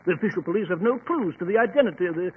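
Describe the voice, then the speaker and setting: An actor playing an earnest, blustery gentleman and old soldier in 1940s radio drama style, with a husky voice. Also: high-pitched, animated, rapid-fire, slight background noise. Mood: earnest, troubled. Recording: tinny 1940s radio recording.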